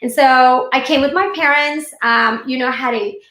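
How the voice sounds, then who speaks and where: A woman's voice: high voice, normal speed, fairly bright tone, reverberant. A woman from India who moved to the United States, giving an informal motivational talk in a chatty way, speaking directly to livestream viewers. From born and raised in India, moved to the United States at fifteen. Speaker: speaker hosting a live online session.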